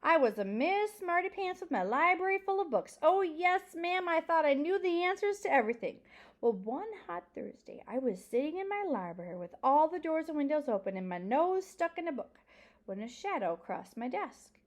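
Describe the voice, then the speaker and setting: A woman's voice: high-pitched, very animated, moderate pace, voice pushed up. A woman voicing an elderly lady librarian in a storybook, proud and dramatic, drawing out key words with folksy emphasis. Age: older.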